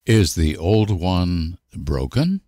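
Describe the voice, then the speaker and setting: A man reading short example sentences for language learners with deliberate, careful enunciation. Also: sits low, big pitch swings, mellow, no echo, clean studio sound. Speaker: language teacher.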